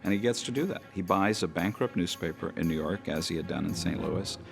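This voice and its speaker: A man's voice: low voice, a little fast, creaky, soft background music. A man explaining history in a storytelling style as a documentary commentator.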